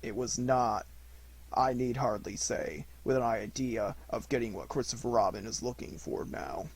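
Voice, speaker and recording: A man voicing a gloomy, self-important old donkey with a slow, ponderous, grumbling delivery in a storybook reading. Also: medium pitch, a little mellow, husky. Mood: pompous.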